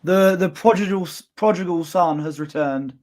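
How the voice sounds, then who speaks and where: A young man co-commentating on a football match as a fan, excitable and quick to laugh, stretching out his exclamations. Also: high voice. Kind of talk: casual watchalong co-commentary.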